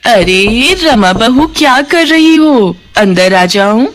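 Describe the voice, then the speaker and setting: A woman's voice: on the high side, lively intonation, slow. Woman acting a sly, drawling gossipy aunt. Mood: sly, coaxing. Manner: falsely sympathetic, insinuating. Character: sly gossiping older aunt stirring up trouble. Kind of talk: Hindi cartoon family drama acting.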